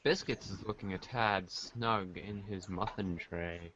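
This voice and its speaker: A man's voice: low voice, a little slow, muffled recording, heavy background noise. A man reading comic narration aloud for a podcast. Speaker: podcast reader of a webcomic.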